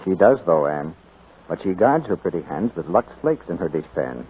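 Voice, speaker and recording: A man's voice: sits low, big pitch swings, normal speed, slightly rough. A man announcing a scripted 1940s radio commercial in a polished, persuasive sales delivery. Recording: muffled 1940s radio broadcast, narrow band.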